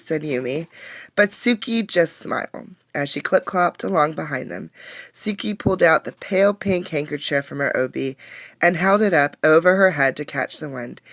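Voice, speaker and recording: A woman reading a picture book aloud as a teacher, expressively, pausing to put questions to young listeners. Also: deep voice, no room sound. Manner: expressive storytelling, addressing young listeners directly. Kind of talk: interactive children's read-aloud with questions.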